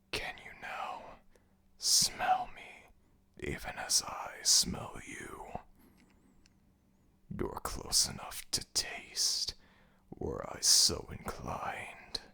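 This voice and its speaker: A man acting as an imposing jackal-headed god of the dead in an ASMR audio roleplay, speaking slowly and formally with commanding, deliberate menace and curiosity. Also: very deep voice, vocal fry, pushed and bright, husky, exaggerated voice. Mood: whispered menace.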